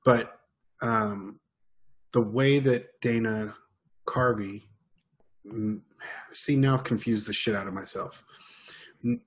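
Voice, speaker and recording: A man's voice: on the low side, fairly even intonation, takes it slowly, long pauses. A man chatting on a podcast, telling a story casually, swearing and correcting himself as he goes. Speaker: podcast co-host.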